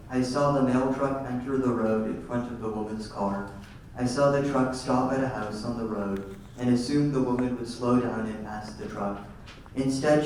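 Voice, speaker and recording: A man's voice: mid-range voice, a little mellow, a little hiss or hum. A teenage boy reading his essay aloud steadily. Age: teens. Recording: echoey room from far off.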